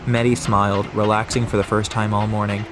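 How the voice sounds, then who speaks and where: A man's voice: fairly low pitch, little pitch movement, a little fast, background noise. A man narrating an atmospheric audiobook story from a script. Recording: music behind the voice.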